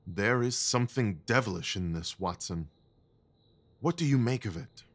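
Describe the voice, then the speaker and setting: A low-voiced, keen detective played by a man in a classic mystery audio drama. He is composed and commanding, with a measured delivery. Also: animated. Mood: grave. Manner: measured, authoritative.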